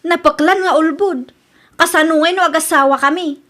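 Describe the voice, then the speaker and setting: A woman plays an indignant young girlfriend in an Ilocano radio drama. Her voice is very high, with an Ilocano accent and a stubborn, questioning delivery. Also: moderate pace, a bit bright. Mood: disappointed.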